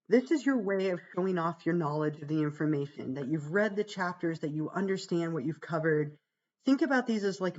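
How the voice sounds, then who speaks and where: A woman psychology instructor, hoarse and gravelly from being sick, walking students through a course page in a chatty, reassuring way with many "um"s. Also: a little mellow, lo-fi sound. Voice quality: gravelly, deeper than usual. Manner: chatty, reassuring, fillers like "um" and "right?". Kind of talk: casual livestream course walkthrough.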